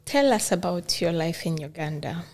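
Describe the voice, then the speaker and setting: A woman's voice: fairly low pitch, expressive, normal speed, a little mellow. A woman hosting a podcast interview, chatting in an upbeat, encouraging way. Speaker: podcast host. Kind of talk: casual interview chat.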